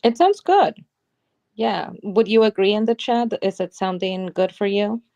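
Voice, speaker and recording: A woman's voice: mid-range voice, lively intonation, a little slow, a little mellow, dry recording. A woman from Mexico, co-hosting a casual livestream chat in a friendly, upbeat way. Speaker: podcast co-host.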